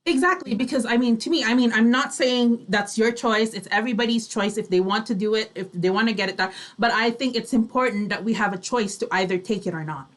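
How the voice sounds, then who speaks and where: Lady, kinda high voice, chatting casually as a radio co-host. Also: fairly quick, few pauses. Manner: chatty, uses "you know" and "right" as tags.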